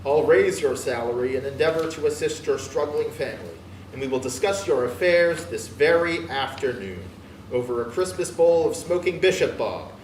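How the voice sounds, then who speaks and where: A male reader voices a giddy elderly miser, newly kindhearted, who bellows his lines with big swoops up in pitch. The storybook reading sounds distant and roomy. A faint hiss lies under it. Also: animated, moderate pace, natural voice. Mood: jovial. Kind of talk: dramatic reading of a classic tale.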